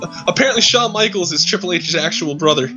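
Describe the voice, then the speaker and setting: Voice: mid-range voice, expressive, fast, lo-fi sound, over music. A streamer reading fan fiction aloud as a comic dramatic reading, amused and breaking off to react with casual asides.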